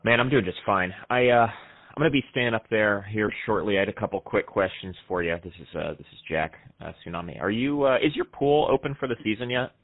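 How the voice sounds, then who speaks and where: A man's voice: fairly low pitch, expressive, fairly quick, no echo. A man playing a cocky, folksy jet ski stuntman who rambles self-importantly on a prank phone call. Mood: breezy, friendly. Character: cocky, casual county-famous male jet ski stuntman. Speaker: prank caller.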